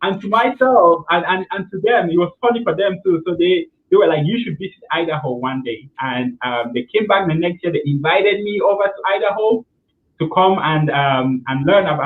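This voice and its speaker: A young man from Cameroon, telling his story as a guest over a video call, earnest, with many fillers and restarts. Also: on the high side. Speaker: guest, nonprofit founder. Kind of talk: storytelling interview answer. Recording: echoey room over a tinny line.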